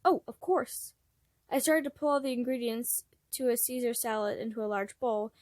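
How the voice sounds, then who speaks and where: A woman's voice: on the high side, fairly quick, darkish tone, no echo, studio quality. A woman reading fan fiction aloud as an amateur narrator, expressively and with acted dialogue.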